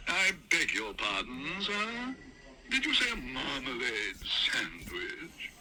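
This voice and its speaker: A man voicing a stiffly formal male head waiter of a grand restaurant, with slow, drawn-out words. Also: medium pitch, huge pitch swings, heavy background noise. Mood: haughty.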